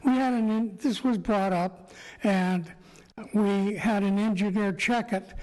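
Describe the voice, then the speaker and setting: A man with a sore throat and a slightly raspy voice, giving a halting public presentation to a city council. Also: sits high, steady tone, a little mellow. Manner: slow, halting, many fillers. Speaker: applicant speaking at council meeting. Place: council chamber. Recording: echoey council chamber.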